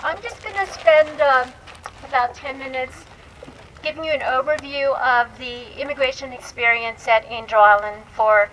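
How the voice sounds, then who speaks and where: A woman speaks as a researcher giving an informal public talk. Her voice is fairly high and very bright. She explains in a halting way with self-corrections, over a live microphone with faint noise. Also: low-quality recording. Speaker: panel speaker, historian and researcher. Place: live panel discussion event. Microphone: event microphone. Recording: live event mic, faint background noise.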